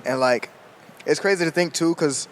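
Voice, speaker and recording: A man's voice: a little high, moderate pace, a little hiss or hum. A man in his twenties chatting casually on a podcast, loose and slangy, laughing as he tells stories. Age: 20s. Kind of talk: casual chat.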